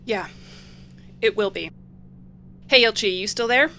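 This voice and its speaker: An actress playing a determined, guarded young repairperson in an audio drama, speaking in a terse, dry way. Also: female voice, mid-range voice, unhurried, sharp tone, a little hiss or hum.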